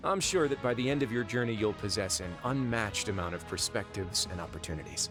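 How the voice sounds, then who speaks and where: Guy doing smooth-mannered game duke, deepish voice, calm n in charge, bit wry, music under it. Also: natural voice. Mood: friendly, reflective. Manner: measured, suave, wry, authoritative. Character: composed, courteous male duke running a prison fortress. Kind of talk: video game character acting. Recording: music behind the voice.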